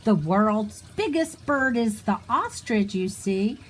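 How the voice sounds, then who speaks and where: A woman reading a rhyming picture book aloud to young children in an expressive, sing-song way, pausing for little asides. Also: mid-range voice, slight background noise. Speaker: children's storybook reader.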